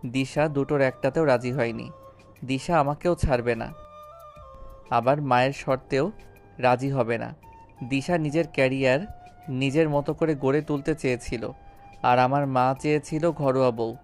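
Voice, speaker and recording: অডিও গল্পে একজন পুরুষ অভিনেতা এক তরুণ স্কুলশিক্ষক স্বামীর চরিত্রে, আন্তরিক ও অনুনয়ের সুরে প্রমিত বাংলায় কথা বলছেন।. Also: male voice, mid-range voice, fairly even intonation, moderate pace, pauses often, close to an everyday voice. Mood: helpless, regretful. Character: earnest young schoolteacher husband, apologetic and pleading. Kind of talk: audio story drama acting. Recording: background music under voice. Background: music.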